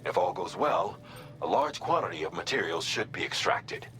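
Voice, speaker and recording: A man playing a calm, methodical mission coordinator in a video game, giving formal, measured, precise instructions. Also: deep voice, echoey room, over music. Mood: measured, businesslike. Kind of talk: scripted game mission briefing.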